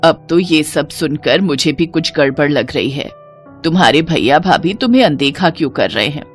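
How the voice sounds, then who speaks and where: A woman playing an older, caring mother-in-law in a cartoon family drama, advising in a measured manner. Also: low voice, lively intonation, faint music behind. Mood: troubled, suspicious.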